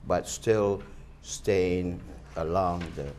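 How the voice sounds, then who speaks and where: A man's voice: low voice, steady tone, drawn-out words, darkish tone, a little hiss or hum. An older man from Barbados, a pastor, answering interview questions earnestly with many restarts.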